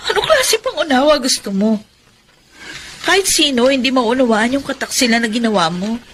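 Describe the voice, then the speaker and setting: High-voiced, wounded young Filipino woman. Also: sing-song, moderate pace, a little hiss or hum. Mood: hurt, indignant. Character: hurt, proud young career woman, a devoted daughter. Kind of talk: Filipino radio drama acting.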